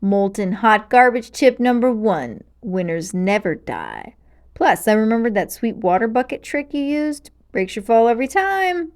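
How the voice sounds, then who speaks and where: A woman's voice: a little high, very animated, measured pace. Woman playing a cocky, swaggering male adventurer. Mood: smug. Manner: crowing, boastful.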